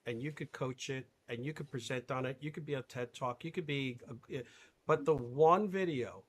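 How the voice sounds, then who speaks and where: A man hosting a live webinar interview, talking in an animated, emphatic way and laughing often. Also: mid-range voice, normal speed, slight background noise. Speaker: webinar host.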